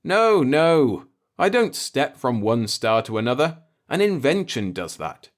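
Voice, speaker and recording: An earnest human space traveler, played by a male narrator, talks in a raised, lively pitch. He explains and persuades with insistence and some impatience, in science fiction storybook fashion. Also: a little slow. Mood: insistent, pleading.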